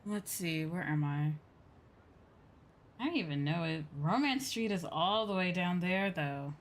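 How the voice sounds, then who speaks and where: A woman's voice: lowish voice, expressive, unhurried, slight background noise. A woman streaming a game, chatting casually and playfully and muttering to herself.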